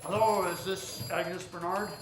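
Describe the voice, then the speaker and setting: An actor playing a polite, guarded department store owner in a 1940s radio drama, formal and a little halting. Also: male voice, high-pitched, fairly even intonation. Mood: courteous. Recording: echoey theater with clear background noise.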